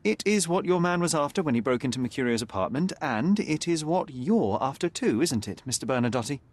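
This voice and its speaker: A man voicing a confident young male detective in a video game, calm and precise. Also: fairly high pitch, fairly quick. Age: young adult. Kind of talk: video game cutscene dialogue.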